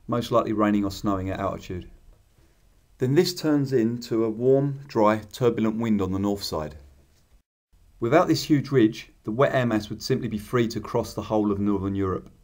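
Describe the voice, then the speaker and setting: Low-voiced man narrating a weather lesson. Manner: measured, explanatory, technical. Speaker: paragliding instructor. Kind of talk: scripted narration over animated maps. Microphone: voice-over.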